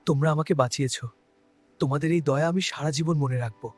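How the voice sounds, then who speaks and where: A man playing a gentle, grateful wounded alien in a cartoon, speaking in an earnest, solemn way. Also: a little high, fast, faint music behind, natural voice. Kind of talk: cartoon dub dialogue.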